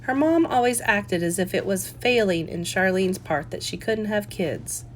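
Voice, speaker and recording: A woman reading a novel aloud as an audiobook, in an expressive storytelling style. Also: medium pitch, normal speed, a little hiss or hum. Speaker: audiobook reader.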